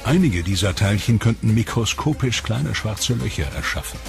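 Very deep-voiced documentary narrator man. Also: gravelly. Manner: calm, measured, explanatory. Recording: music bed under voice.

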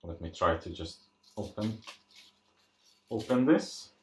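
A man giving casual, unscripted unboxing commentary, thinking aloud in a halting way as he presents. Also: lowish voice, expressive, slight background noise. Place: indoors at a tabletop. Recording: roomy, echoey sound.

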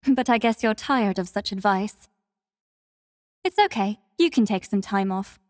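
An actress playing a devoted, composed young female warrior in a video game, speaking with formal, measured, soft courtesy. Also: mid-range voice, no room sound, studio quality, big-room sound, lightly acted. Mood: calm, caring. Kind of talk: scripted mobile game character lines.

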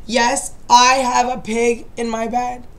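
Woman, young adult, high voice, losing her voice, rambling, apologetic, webcam in a bedroom. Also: little pitch movement, slow pace, a bit bright, slight background noise. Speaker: vlogger, makeup seller. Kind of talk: casual chat to camera. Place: dim bedroom at night.

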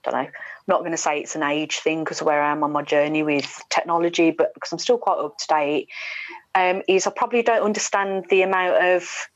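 A woman's voice: sits low, fairly even intonation, fairly quick. A middle-aged woman, a radio presenter, chatting in an opinionated, emphatic way as an interview guest over a video call. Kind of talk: casual podcast interview chat. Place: small room indoors, video call. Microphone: wired earphone headset. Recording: dull, echoey video-call audio.

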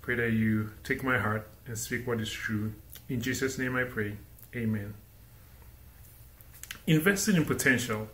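A man's voice: medium pitch, measured pace, pauses often. Ghanaian man preaching in a roomy echo. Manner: animated, asks rhetorical questions. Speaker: guest speaker. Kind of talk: inspirational sermon. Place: indoor room against a plain wall.

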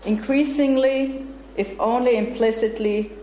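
A woman's voice: a little high, steady tone, measured pace, dark tone. A middle-aged woman whose first language is German, giving an academic lecture in English and reading parts from her paper. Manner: laughs easily and chats with the audience between read passages. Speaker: guest lecturer. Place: bright lecture room with projector screen, indoors. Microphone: far camera, room mic. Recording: echoey room with faint noise.

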